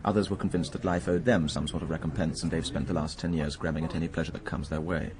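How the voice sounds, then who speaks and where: Deep-voiced suave English cynic. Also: male voice, fairly even intonation, quick pace, crowd noise. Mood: sardonic, guarded. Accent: upper-class English. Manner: urbane, drawling wit, mocking. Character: suave, cynical gentleman, war survivor, teasing a lady friend. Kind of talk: radio drama acting.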